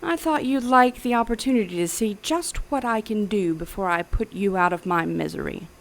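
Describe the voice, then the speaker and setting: A woman's voice: mid-range voice, animated, normal speed, natural voice. An actress playing a smug, menacing female mad scientist in an audio drama thriller, speaking precisely and theatrically. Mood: cold, commanding.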